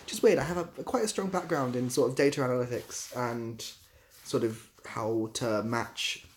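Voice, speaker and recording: A man's voice: medium pitch, moderate pace. Young man with southwest English accent. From southwest England, near Bath. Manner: rambling, self-deprecating. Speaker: livestream host and YouTuber. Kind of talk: casual livestream chat.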